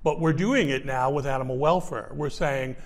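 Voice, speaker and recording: A man's voice: mid-range voice, moderate pace. A man in his sixties speaking as an expert guest in a studio interview, arguing his point with passion and emphasis. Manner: gestures broadly while talking. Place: small indoor studio.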